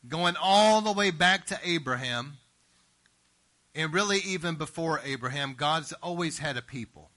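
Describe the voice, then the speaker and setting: A pastor preaching an earnest, emphatic sermon, often checking in with the congregation. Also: male voice, fairly high pitch, animated, normal speed, pushed and bright. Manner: earnest, emphatic preaching with frequent "Amen?" asides.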